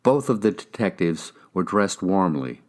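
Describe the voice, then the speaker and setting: A man's voice: sits low, very animated, measured pace, a little mellow. A man reading scripted first-person crime fiction as an audiobook narrator.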